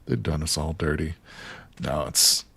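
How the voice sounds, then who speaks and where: A man's voice: very deep voice, expressive, unhurried, gravelly, sharp tone, breathy edge. A man live streaming a survival game, chatting casually and joking with his viewers as he plays. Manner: chatty, jokes with chat, greets viewers by name.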